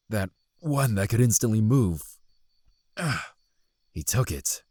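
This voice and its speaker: Male knight, played by an actor: hugely varied pitch, slow, worn and protective, fantasy drama. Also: big pitch swings, darkish tone, no echo, clean studio sound, exaggerated voice. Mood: weary, frustrated. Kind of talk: fantasy audio drama acting.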